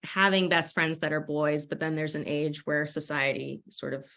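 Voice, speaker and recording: A woman's voice: deep voice, fairly even intonation, moderate pace, fairly bright tone. A middle-aged American woman talking casually as an author on a panel, with a playful, rambling manner full of self-deprecating asides. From United States.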